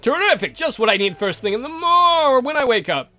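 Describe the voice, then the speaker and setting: A man's voice: very high voice, big pitch swings, a little fast, pushed and bright, faint music behind, voice pushed up, heavily acted. A man voicing an irritable, sardonic, foul-mouthed vampire count in a visual novel. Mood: exasperated. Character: irritable, sardonic male vampire count, protective head of family.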